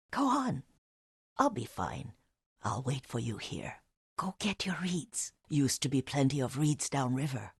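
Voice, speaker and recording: Husky, slow-spoken elderly caretaker. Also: female voice, deep voice, no room sound, studio quality, heavily acted. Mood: gentle, reminiscing. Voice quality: husky, breathy. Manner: unhurried, softly instructive, motherly. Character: elderly devoted caretaker woman, herbalist, gentle but firm. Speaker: video game voice actor. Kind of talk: video game character dialogue.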